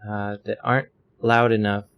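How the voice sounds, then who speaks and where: A man talks through his recording setup in a loose, rambling way, stumbling over fillers as he goes. His voice sits a touch low and comes through a desk microphone at home. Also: flat delivery, normal speed, smooth, phone-quality sound. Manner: rambling, self-deprecating, many fillers. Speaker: streamer explaining audio settings. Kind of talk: casual tutorial. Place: home room with a fan running.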